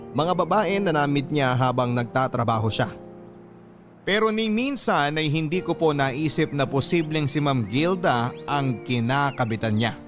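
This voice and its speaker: A man narrates a scripted letter for a radio love-story drama. His voice is medium in pitch, measured and earnest, with music clearly playing behind him. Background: background music.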